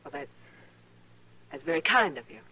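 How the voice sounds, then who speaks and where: An actress playing a proper, nervous and defensive frontier wife in an old-time radio Western. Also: female voice, deep voice, very animated, moderate pace, bright, forceful tone, slightly rough, exaggerated voice. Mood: uneasy, polite. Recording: noisy vintage radio drama recording.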